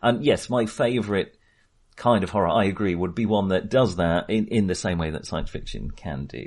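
Low-voiced man chatting casually about film. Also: normal speed, a little mellow. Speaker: film podcast host.

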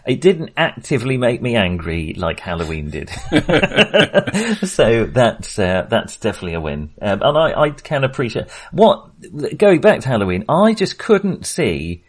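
A middle-aged man co-hosting a film podcast, talking casually in a measured, hedging, wry way. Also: medium pitch, very animated.

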